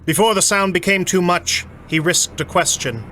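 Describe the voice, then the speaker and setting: A man reads dramatic story narration with a bright, fairly high voice. His pacing is slow and suspenseful, with a wry edge. Faint ambient noise sits behind him.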